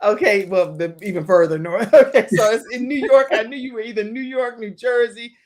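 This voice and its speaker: A woman's voice: a little high, brisk, darkish tone. A woman hosting her own talk show, chatty and emphatic, welcoming guests and viewers. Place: virtual studio.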